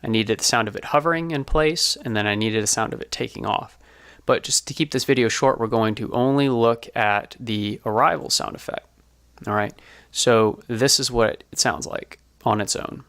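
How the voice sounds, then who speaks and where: A man presenting an informal behind-the-scenes explainer as a sound designer, speaking in a casual, halting way. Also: medium pitch, fairly bright tone, dry recording.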